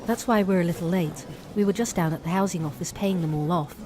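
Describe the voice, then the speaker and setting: A woman playing a kind, grateful tavern keeper in an audio drama, gentle and sincere. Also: lowish voice, fast, noisy background, lightly acted. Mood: friendly, relieved.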